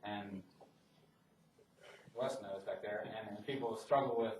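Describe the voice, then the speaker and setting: A man's voice: fairly low pitch, steady tone, a little slow, a little hiss or hum. Man lecturing math in a roomy classroom. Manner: conversational, many restarts and fillers. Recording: roomy classroom audio from a distant mic.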